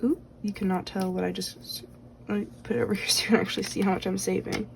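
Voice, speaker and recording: A woman at a middle pitch talks loosely and chattily, filling her sentences with fillers and counting money out loud. Her voice is a little worn, as if she is starting to lose it. Faint clicking sounds can be heard in the background. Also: level tone, quick pace, creaky, slight background noise. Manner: chatty, rambling with many fillers; counts bills aloud. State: slightly losing her voice. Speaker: budgeting vlogger. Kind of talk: casual chat while cash stuffing.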